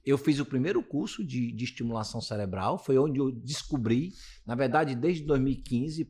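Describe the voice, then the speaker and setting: A man's voice: mid-range voice, expressive, normal speed, darkish tone, slightly rough. A man in his late fifties with a Brazilian accent, a physiotherapist being interviewed, talking casually and rambling with many restarts. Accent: Brazilian Portuguese. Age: 50s. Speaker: physiotherapist guest.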